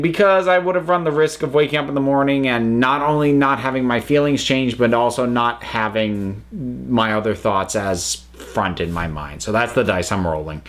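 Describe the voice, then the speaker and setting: An American man talks through his opinions in a loose, conversational review, circling back and correcting himself as he goes. His voice sits at an ordinary middle pitch. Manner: rambling, self-correcting, conversational. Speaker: channel host reviewing audio dramas. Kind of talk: casual spoken review.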